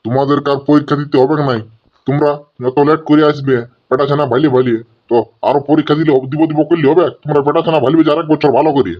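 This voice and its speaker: A man's voice: a little high, steady tone, fast, lo-fi sound, natural voice. A man voicing a stern, rule-bound exam gatekeeper in a comic cartoon, scolding stubbornly with a Purulia Bengali accent.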